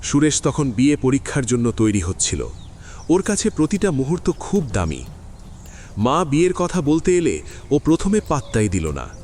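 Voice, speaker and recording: A man narrating a classic story in an audio drama, with expressive storytelling. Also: mid-range voice, normal speed, slight background noise.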